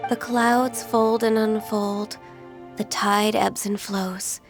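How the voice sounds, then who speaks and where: A woman voicing a gentle, wistful, half-immortal secretary in a video game, speaking politely and formally. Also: medium pitch, level tone, takes it slowly, music in the background. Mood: resigned sadness.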